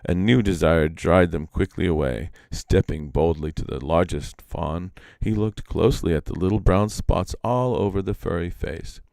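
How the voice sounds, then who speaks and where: A man reading a story aloud live as an expressive storyteller. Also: low voice, moderate pace, creaky, hardly any pauses, dry recording, clean studio sound. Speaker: storyteller, reader.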